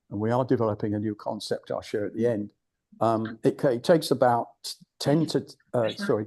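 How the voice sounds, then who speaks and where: A man's voice: medium pitch, mellow. An older British man giving an informal talk to a club, blunt and digressive, often restarting his sentences. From United Kingdom. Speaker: guest speaker at a club. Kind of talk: informal presentation.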